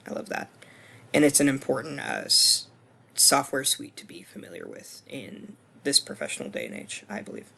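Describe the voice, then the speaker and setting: A hoarse man in his twenties with a deeply gravelly, fry-laden voice at an ordinary pitch for a man. He talks through his course screencast in a loose, hesitant way, stopping for ums and restarting his sentences. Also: huge pitch swings, moderate pace, pushed and bright. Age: 20s. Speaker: student.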